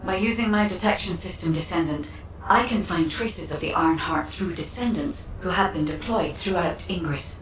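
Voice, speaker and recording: A woman's voice: lowish voice, lightly acted. A woman playing a composed, precise and slightly haughty AI guide in a video game, speaking formally and matter-of-factly. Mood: composed, informative. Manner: formal, measured, matter-of-fact. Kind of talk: video game scripted dialogue. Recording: roomy, distant, faint noise.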